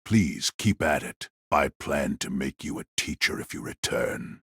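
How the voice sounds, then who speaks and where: A man's voice: very deep voice, expressive, measured pace, a bit bright, husky, no echo, clean studio sound, pitched below the performer's own voice, heavily acted. A man playing a cold, mocking elder brother, an elite warrior, in an audio drama, speaking in a frank, condescending way. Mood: blunt, breezy.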